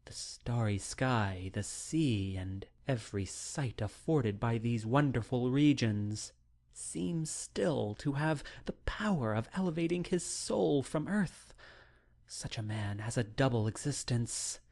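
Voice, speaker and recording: A male narrator reads a classic novel in a medium-pitched voice. His pace is very slow and deliberate. The delivery is solemn, literary and dramatic. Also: darkish tone. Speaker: audiobook narrator.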